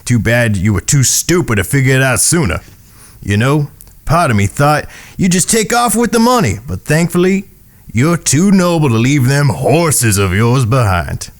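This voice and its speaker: An actor playing a smug, corrupt Old West sheriff with a folksy drawl and a taunting manner in an audio drama. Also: male voice, mid-range voice, sing-song, normal speed. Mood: gloating. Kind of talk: western audio drama acting.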